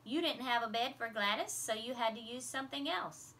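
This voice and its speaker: A woman's voice: on the high side, fairly quick, bright, forceful tone. A woman voicing a patient mother who explains things to children in a storybook reading. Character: patient, explaining mother running a church pageant. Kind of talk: children's novel read aloud.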